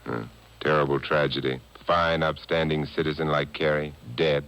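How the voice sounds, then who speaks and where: An actor playing a suave, sardonic amateur sleuth in a 1950s radio detective drama, dry and urbane. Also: male voice, extremely low pitch, level tone, moderate pace, fairly bright tone, clear, resonant tone. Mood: sardonic, cool. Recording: dull old radio broadcast on cassette.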